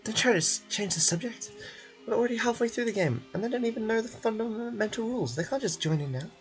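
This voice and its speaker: Man playing a huffy, higher-pitched stickler. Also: animated, fast talker, soft background music. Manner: pedantic, indignant. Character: huffy, argumentative rule-obsessed prison game contestant.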